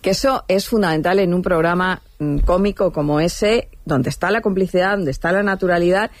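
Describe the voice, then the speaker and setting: A woman's voice: medium pitch, darkish tone, dry recording. A woman talking as a panelist in a casual interview, conversational and emphatic.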